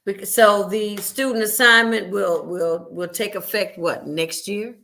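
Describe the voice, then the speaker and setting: A woman's voice: medium pitch, steady tone, measured pace, sharp tone. A woman hosting an interview, chatty and affirming as she talks. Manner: chatty, affirming, frequent short agreements. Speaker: host. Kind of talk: casual interview talk.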